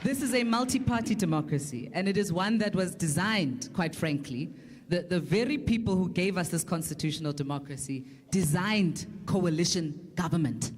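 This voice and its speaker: A woman's voice: lowish voice, animated, normal speed, darkish tone, a little hiss or hum. South african woman on a political panel, articulate, really emphatic, repeats phrases to drive points home. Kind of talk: prepared political argument at a public forum.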